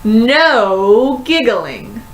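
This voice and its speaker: A woman's voice: fairly high pitch, very animated, extremely slow, roomy sound, heavily acted. A woman voicing a strict, no-nonsense female first-grade teacher in a stern, emphatic manner for a storybook. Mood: firm reminder.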